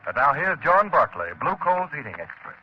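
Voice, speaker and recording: A man's voice: fairly high pitch, very animated, moderate pace. A man announcing a radio program in a formal, promotional manner. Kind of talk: scripted announcer reading. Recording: dull old radio recording with hiss.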